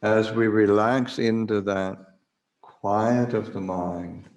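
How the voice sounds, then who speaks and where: A man leading a guided meditation as a Buddhist teacher, speaking haltingly with long pauses as he searches for words. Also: lowish voice, steady tone, darkish tone. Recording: roomy, echoing livestream recording.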